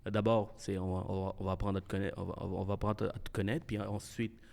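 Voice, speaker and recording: A man hosting a podcast. He talks casually and haltingly, restarting his sentences and slipping in English words. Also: low-pitched, flat delivery, creaky, darkish tone. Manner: halting and hesitant, many restarts, laughs often, mixes in English. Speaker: podcast host.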